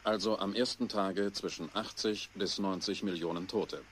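An actor playing a sober announcer who gives grave, factual damage reports in a radio play. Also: male voice, deep voice, steady tone, normal speed, slight background noise, close to an everyday voice, poor audio. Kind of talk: radio play news bulletin.

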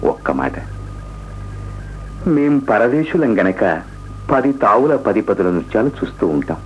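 A gallant, lovestruck stranger in a theatrical radio drama. His voice sits low and he speaks slowly and poetically, on a dull old recording. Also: very animated, soft background music. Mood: tender, earnest. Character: smitten, courtly nameless male stranger, romantic and poetic. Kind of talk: theatrical radio play acting.

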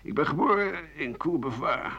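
An actor playing a bitter, sardonic old doctor-writer, grumbling theatrically through a radio play monologue. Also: male voice, fairly high pitch, lively intonation, moderate pace, bright, forceful tone, husky, full character voice. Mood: wry, bitter. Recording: muffled old radio broadcast recording.

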